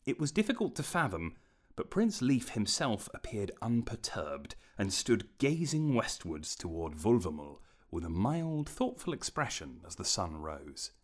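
Male fantasy narrator, fairly deep register, measured storyteller delivery with wide melodic movement. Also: big pitch swings. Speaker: audiobook narrator.

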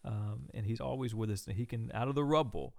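A man hosting a talk show, wrapping up with affirming remarks, quick asides and filler sounds. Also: mid-range voice, creaky, darkish tone. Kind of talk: casual interview wrap-up.

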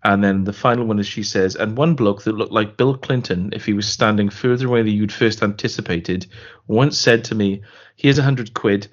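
A man co-hosting a podcast, chatting casually and reading out a listener email with a dry, deadpan delivery. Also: on the low side. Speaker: podcast co-host. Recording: dull lo-fi podcast audio.

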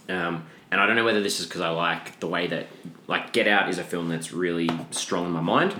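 A man hosting a casual film discussion, griping informally and giving his opinions in a conversational way. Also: fairly bright tone. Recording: echoey room, noticeable hiss and rumble.

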